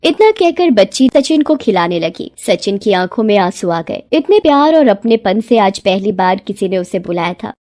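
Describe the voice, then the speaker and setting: A woman narrating a scripted moral story in an expressive, dramatic storytelling manner. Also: medium pitch, moderate pace, few pauses.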